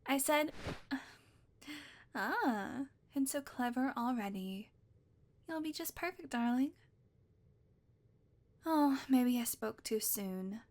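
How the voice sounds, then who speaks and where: A woman's voice: sits high, animated, slow, pauses often. A young woman voicing an obsessive, possessive witch in an audio roleplay, talking sweetly and coaxingly and often dropping to a whisper. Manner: sweet, coaxing, softly controlling, slips into whispers.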